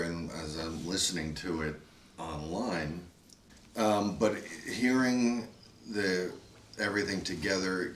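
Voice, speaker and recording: A man who narrated the radio play talks with animated enthusiasm in a casual chat. His voice is very low. He sounds set back from the mic in a reverberant room with faint noise. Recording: roomy echo, distant mic, faint noise.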